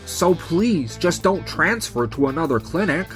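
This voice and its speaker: A man's voice: fairly high pitch, lively intonation, a little slow, fairly bright tone, soft background music. A man voicing an anxious, stammering young male nurse, insecure and pleading, in a manga dub. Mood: desperate pleading. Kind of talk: animated romantic-comedy dub acting.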